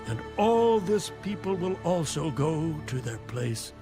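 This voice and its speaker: An actor playing a wise father-in-law giving measured, earnest counsel in a dramatized scripture audiobook. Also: fairly high pitch, big pitch swings. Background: music.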